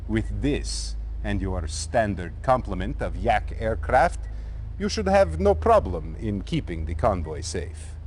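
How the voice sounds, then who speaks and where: Stern, deep-voiced Soviet military commander. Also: male voice, sing-song. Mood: commanding, measured. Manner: formal, measured, authoritative. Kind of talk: video game cutscene acting, mission briefing. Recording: noisy 1990s game cutscene audio.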